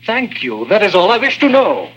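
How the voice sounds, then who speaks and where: An actor playing a greedy, treacherous assistant, cold and formal, in an early 1950s spy drama. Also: male voice, high-pitched, wildly swooping pitch, moderate pace, fairly bright tone, breathy edge, heavily acted. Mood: probing. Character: greedy, treacherous male assistant turned informer. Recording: dull vintage 1950s soundtrack.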